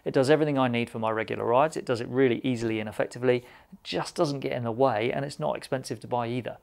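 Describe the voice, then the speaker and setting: A middle-aged British man delivers a scripted product review to camera. His mid-pitched voice has a noticeable gravelly fry. He keeps the delivery conversational and matter-of-fact. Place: indoor studio with shop display shelves.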